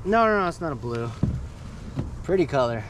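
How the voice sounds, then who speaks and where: A man with a slightly high, groggy voice talks his way through a day of kayak fishing in a relaxed, upbeat way. He is recorded outdoors on the water, and a steady outdoor noise sits clearly under him. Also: moderate pace. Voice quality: groggy morning voice. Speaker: fishing vlogger. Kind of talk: casual running commentary while fishing.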